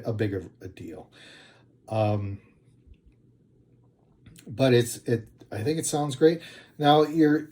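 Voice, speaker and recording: Mellow, unhurried man giving a casual review. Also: medium pitch. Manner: hesitant, rambling, plain-spoken. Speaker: product reviewer. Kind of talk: casual unscripted video review.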